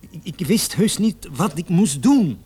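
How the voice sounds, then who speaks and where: A man's voice: high voice, big pitch swings, unhurried, dark tone, husky, heavily acted. A man acting in a radio drama as a nervous, evasive salesman under questioning, stammering and repeating himself. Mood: anxious, pleading. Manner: stammering, flustered, repeats words. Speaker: suspect under police questioning. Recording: noisy old radio play recording.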